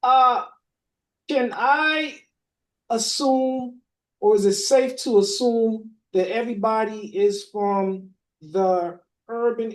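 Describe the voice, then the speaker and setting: Slow rhythmic podcast host. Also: male voice, high-pitched, rough recording. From Boston. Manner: slow, rhythmic spoken-word delivery. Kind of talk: rhymed spoken intro then hosting a panel.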